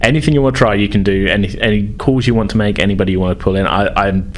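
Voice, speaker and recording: A man's voice: fairly low pitch, very fast. A man acting as game master, narrating casually and improvising in a rambling, self-correcting way. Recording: faint background noise.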